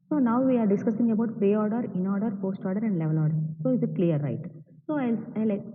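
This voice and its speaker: Indian woman lecturing over tinny call audio. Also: mid-range voice. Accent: Indian English. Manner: repetitive, checks understanding with "right?". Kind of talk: online class lecture. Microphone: video call. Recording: distant tinny online-class audio.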